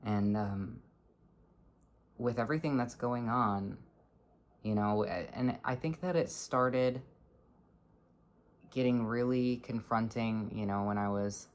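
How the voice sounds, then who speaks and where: A person in their twenties with a low voice, talking earnestly in a halting, reflective personal vlog. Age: 20s. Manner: earnest, halting, searching for words. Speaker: vlogger.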